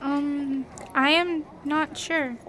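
A woman's voice: high-pitched, fairly even intonation, drawn-out words, a bit bright, slight background noise, heavily acted. A woman acting as a naive, earnest lost alien girl in a radio drama, speaking formally without contractions. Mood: hesitant, guarded.

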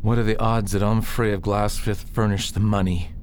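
A man's voice: lowish voice, flat delivery, moderate pace, a little mellow, slight background noise, close to an everyday voice. An actor playing a grieving young nobleman who is wounded and grimly set on vengeance in a science fiction audio drama. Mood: subdued.

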